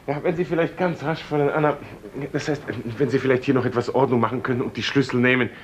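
Actor as fussy, hesitant office supervisor, noisy recording. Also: male voice, medium pitch, fairly even intonation, fast talker, natural voice. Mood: nervous, hurried. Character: fussy, nervous, evasive married male office supervisor. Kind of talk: 1950s radio play acting. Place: radio drama studio. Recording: noisy old 1950s radio recording.

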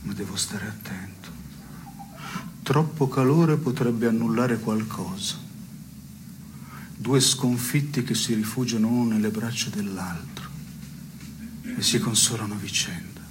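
An actor playing a melancholy, self-ironic man in a radio theatre piece, speaking in a hushed, deliberate, literary way. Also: fairly low pitch, expressive. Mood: wistful, hushed. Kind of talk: avant-garde radio theatre dialogue. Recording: noisy recording with chirping cricket sounds.

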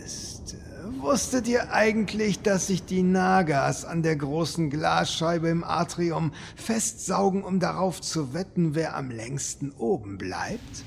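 A creaky, higher-pitched servant creature drags his words slowly. He is obsequious on top and drily sly underneath, in a fantasy parody radio play with faint noise behind. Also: exaggerated voice. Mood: sly, deadpan. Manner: obsequious, deadpan, muttered asides. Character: servile, slow-spoken minion with sly dry wit. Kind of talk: German audio drama parody acting.

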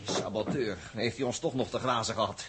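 A man's voice: fairly low pitch, steady tone, brisk, close to an everyday voice. An actor playing a bitter, fatalistic male crewman in a 1960s radio space drama, wry, tense and emphatic. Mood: bitter, alarmed. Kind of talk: 1960s Dutch radio science-fiction acting. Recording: slightly noisy vintage 1961 radio recording.

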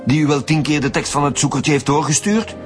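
Actor as a blunt Flemish chief detective over music. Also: male voice, fairly high pitch, fairly quick, lightly acted. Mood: stern, sceptical. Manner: authoritative, blunt. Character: stern, sceptical chief homicide detective leading interrogations. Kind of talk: radio drama acting.

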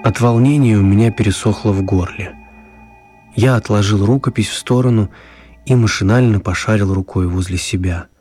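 An actor playing a calm storyteller, narrating a children's radio play in a scripted story style. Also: male voice, fairly low pitch, moderate pace, faint music behind, natural voice. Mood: suspenseful narrating. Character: calm male storyteller reading a manuscript.

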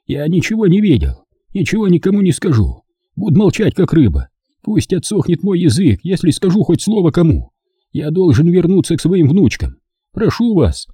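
A man's voice: a little high, wildly swooping pitch, moderate pace, warm tone, slightly rough, no room sound, clean studio sound, pitched above the performer's own voice, heavily acted. A man voicing a frightened, tearful old German man who whines and begs for his life. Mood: begging. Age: older. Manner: tearful, whining, pleading.

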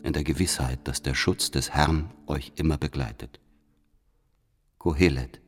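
An actor playing a solemn, scheming letter writer from sixteenth-century Germany, speaking in formal, measured rhetoric. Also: male voice, extremely low pitch, slightly rough, faint music behind, full character voice. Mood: devout, earnest. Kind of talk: literary radio drama monologue, reading a letter.

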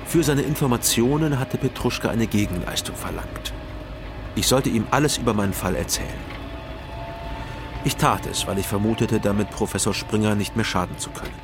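A man acting as a laconic private detective who narrates a crime radio play. His voice is low and measured, sober and matter-of-fact, over clearly audible music. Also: close to an everyday voice. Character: sober male East German private detective recounting his case. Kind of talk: first-person scripted narration in radio crime drama.

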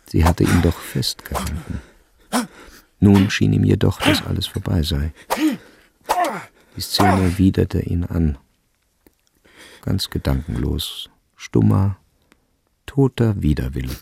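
A man's voice: deep voice, expressive, slow pace, vocal fry, pauses often, a little mellow, husky. A man narrating a literary story as part of a radio play.

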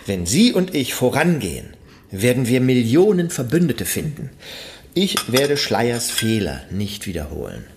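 A man's voice: medium pitch, big pitch swings, a little slow, a little mellow, slight background noise. An actor in a radio play playing an earnest, zealous idealist who argues insistently and persuasively for his cause. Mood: fervent. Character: earnest, zealous idealist campaigning for a world language.

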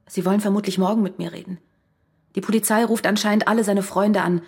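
A defiant, strained wife in a radio thriller. Her voice is husky and of middling pitch, and she answers bluntly and curtly. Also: steady tone, quick pace, a little mellow. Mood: agitated. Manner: blunt, curt. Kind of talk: radio crime drama acting.

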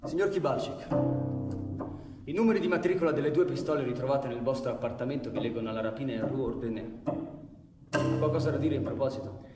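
A man's voice: medium pitch, quick pace, long pauses, distant mic, lightly acted. A male actor playing a guarded, formal police inspector in theatrical dialogue. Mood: guarded, subdued. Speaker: stage actor. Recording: reverberant hall, music behind voice.

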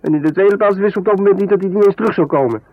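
An actor playing a weary, sardonic artist husband in a 1970s radio drama, grumbling and bitter, with a husky voice. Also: male voice, high voice, rapid-fire, natural voice. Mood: exasperated. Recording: thin phone-like vintage radio sound.

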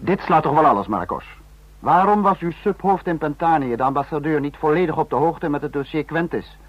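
Male dictator: formal, deliberate, interrogating. Vintage radio drama with dull lo-fi sound. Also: a little high, animated, dry recording. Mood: indignant. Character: authoritarian male head of state, commanding, cold and calculating. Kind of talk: mid-century European radio drama acting. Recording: dull, lo-fi old radio recording.

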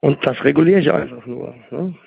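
A man with a husky voice, hosting a conversational interview as a therapist and explaining emphatically through questions he answers himself. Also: on the high side, a little fast, no room sound. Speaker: host and stuttering therapist. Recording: phone-like, band-limited sound.

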